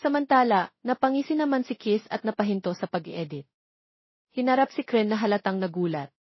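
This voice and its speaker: A woman's voice: medium pitch, expressive, fairly quick, husky, dry recording, highs cut off. A Filipino woman narrating a scripted romance story.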